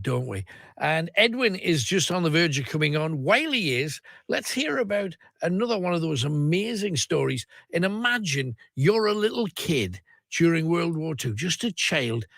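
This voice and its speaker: A man's voice: fairly high pitch, creaky, fairly bright tone, no echo, clean studio sound. A man hosting a late-night radio phone-in, chatting casually with callers. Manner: chatty, reads out the phone number. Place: radio studio. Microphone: studio microphone.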